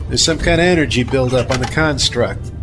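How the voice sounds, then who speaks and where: A man's voice: medium pitch, a little fast, natural voice. A man playing a wry spaceship pilot in a science fiction audio drama, with informal talk and clipped commands. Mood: urgent. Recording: loud music behind the voice.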